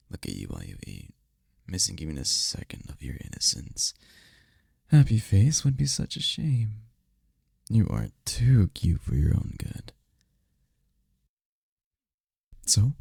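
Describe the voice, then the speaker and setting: A man playing an affectionate, teasing incubus boyfriend in an intimate, softly spoken roleplay ASMR, husky and breathy. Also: no room sound, clean studio sound, heavily acted. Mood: playful, doting. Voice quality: gravelly, breathy, husky low voice.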